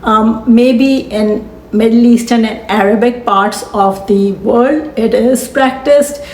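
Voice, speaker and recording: A woman from Pakistan, making an earnest, firm argument in opinion commentary, pausing to reason through each point. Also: fairly high pitch, darkish tone, echoey room, slight background noise, rough recording.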